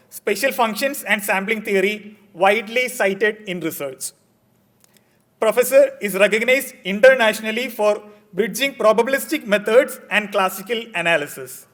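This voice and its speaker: High-voiced male conference emcee. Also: fairly bright tone. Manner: formal and measured, read from a script. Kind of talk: reading a formal introduction. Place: conference hall.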